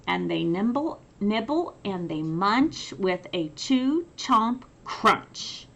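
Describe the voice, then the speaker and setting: A woman reading a children's picture book aloud with drawn-out, emphatic, expressive storytelling. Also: medium pitch, gravelly, lo-fi sound.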